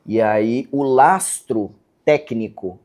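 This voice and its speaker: A man's voice: medium pitch, slow, a little mellow. A man hosting a podcast as a neurosurgeon, speaking earnestly in a casual interview chat with a Brazilian Portuguese accent. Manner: earnest, hesitant restarts, frequent "tá?" tags. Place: podcast studio.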